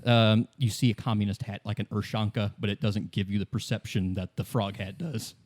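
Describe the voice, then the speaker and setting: A man running a tabletop game, narrating scenes in a casual, halting, conversational way. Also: on the low side, fairly even intonation. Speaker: game master.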